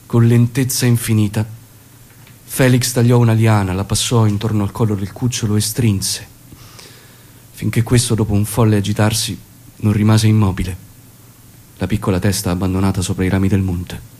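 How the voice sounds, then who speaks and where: An actor playing a commanding, shrewd guerrilla leader in a stage drama, speaking in a measured, authoritative way. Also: male voice, fairly low pitch, flat delivery, warm tone, close to an everyday voice. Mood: grave, reflective. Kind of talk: theatrical dramatic acting and monologue.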